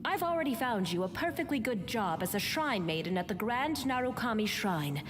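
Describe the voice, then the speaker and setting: A woman's voice: a little high, sing-song, normal speed. A woman playing a stern middle-aged mother in a video game, lecturing in a bossy, scolding manner. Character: stern, nagging mother lecturing her bookish daughter. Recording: clearly noisy ambient background.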